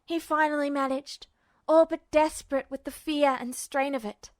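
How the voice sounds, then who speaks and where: A woman reads a fan story aloud in an emotive, measured way. Her voice sits high. The recording is dry and close. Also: steady tone, no echo. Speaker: podfic reader. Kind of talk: audiobook narration of fan fiction.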